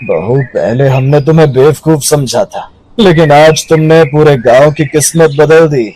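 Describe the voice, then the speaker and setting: Man acting a blunt high-pitched village farmer. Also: measured pace, darkish tone, rough recording. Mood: grateful. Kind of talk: Hindi moral-story cartoon acting.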